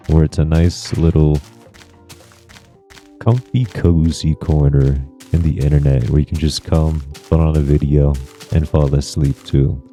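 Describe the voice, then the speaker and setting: Channel host, male, very deep dark voice, laid-back reflective rambling over gameplay. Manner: rambling, soft-spoken, reflective. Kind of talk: relaxed gameplay commentary.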